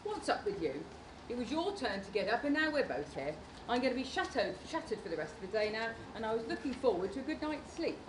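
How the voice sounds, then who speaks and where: A woman's voice: a little high, big pitch swings, quick pace. A British woman playing an exhausted, nagging fiancée and young mother in an amateur radio play. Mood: weary, annoyed. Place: large conference room before an audience. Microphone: handheld or stand microphone. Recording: echoey hall with clear background noise.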